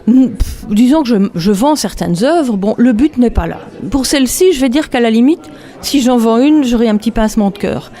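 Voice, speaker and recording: A woman from Belgium, a textile artist chatting relaxed in an interview, laughing often between hesitant fillers. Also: fairly high pitch, normal speed. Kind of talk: casual interview.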